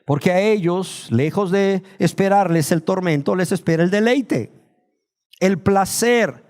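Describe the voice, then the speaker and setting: A man from Nicaragua, preaching a sermon, asking rhetorical questions and slowing down to stress key words. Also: sits high, a little mellow.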